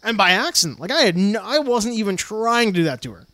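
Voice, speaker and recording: High-voiced man telling a rambling story. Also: fast, no room sound. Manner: rambling storyteller, laughs often, animated retellings. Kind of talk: casual chat.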